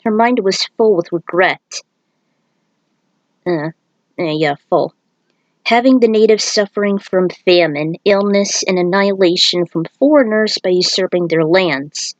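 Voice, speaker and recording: A woman's voice: fairly low pitch, measured pace, no echo. A woman reading scripted action-story narration aloud, sometimes stumbling and rereading words. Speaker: narrator reading fan fiction.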